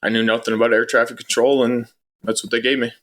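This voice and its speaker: A man's voice: medium pitch, flat delivery, fast, fairly bright tone. A man answering interview questions as a guest in a casual, matter-of-fact, conversational way. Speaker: interview guest, former air traffic controller.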